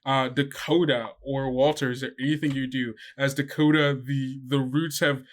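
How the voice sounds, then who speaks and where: A man's voice: mid-range voice, little pitch movement, normal speed. A young man running a tabletop game as game master, casual with lots of fillers.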